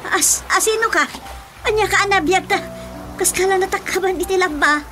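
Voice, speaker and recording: A woman's voice: extremely high pitch, normal speed, over music. A woman voicing a flustered, exclaiming village auntie with an Ilocano Filipino accent in a comedic radio drama. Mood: startled, puzzled.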